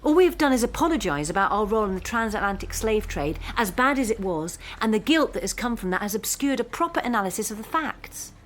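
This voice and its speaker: An actress playing a driven, privileged young postgraduate who argues insistently and articulately in a radio drama. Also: female voice, medium pitch, very animated, fast, hardly any pauses, a little hiss or hum, lightly acted. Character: earnest, driven, privileged female postgraduate student.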